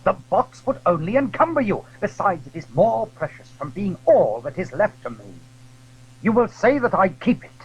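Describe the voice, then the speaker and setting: An actor playing an agitated aristocratic duke in a period audio drama, speaking in a grand, urgent and dramatic way. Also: male voice, high-pitched, sing-song, moderate pace, breathy edge, exaggerated voice. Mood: grave. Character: agitated English duke, imperious aristocratic nobleman. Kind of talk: period radio drama acting. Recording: dull, noisy old audio drama recording.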